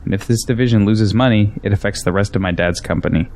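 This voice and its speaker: Man acting as a low-voiced defensive businessman. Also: fast, darkish tone, a little hiss or hum, lightly acted. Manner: defensive, argumentative. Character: defensive, ambitious young businessman, skeptical and proud. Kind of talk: audio drama dialogue.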